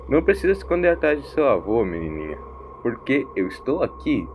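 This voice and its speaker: A man's voice: mid-range voice, very animated, a little fast, dull audio, slight background noise, pitched above the performer's own voice. A man voicing a mysterious, eccentric vagabond in an audio story, drawling slangy words in a theatrical, teasing way. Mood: playful, teasing. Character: mysterious eccentric wandering vagabond, teasing, preachy, ancient but young-sounding.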